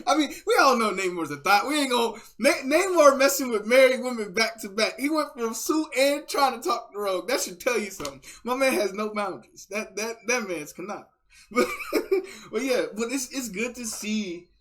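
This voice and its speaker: A man hosting a reaction video, giving excited, slangy, casual commentary and repeating words as he goes. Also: very high-pitched, moderate pace.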